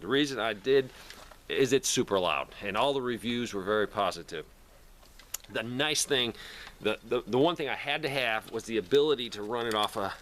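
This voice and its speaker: A man's voice: fairly low pitch, fairly bright tone, a little hiss or hum. A man talking casually to camera in a plainspoken, rambling way, like a video host showing his gear. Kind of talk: casual product talk to camera.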